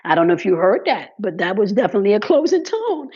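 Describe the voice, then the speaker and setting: A hoarse woman speaks casually to her followers about spiritual practice, her voice crackling from congested sinuses. She keeps checking in with quick "okay"s and "right"s. Her voice sits at an ordinary pitch, and the recording sounds a little dull and lo-fi. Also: very animated, darkish tone. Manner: repeats "okay" and "right" as check-ins, uses fillers. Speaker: spiritual content creator. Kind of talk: casual spiritual talk to viewers.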